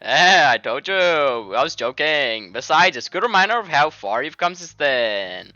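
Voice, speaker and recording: Man putting on a high, needling teenage mean girl. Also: wildly swooping pitch, measured pace, bright, forceful tone, no echo, muffled recording, faint music behind, heavily acted. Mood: teasing. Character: teasing, mocking female school friend. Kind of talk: video game fan voice-over.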